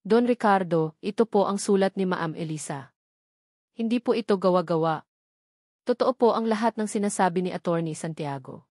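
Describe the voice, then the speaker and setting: A woman's voice: lowish voice, moderate pace, a little mellow, no room sound, clean studio sound, lightly acted. A Filipino woman narrator voicing a loyal female household helper who is earnest and respectful in a story. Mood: earnest pleading.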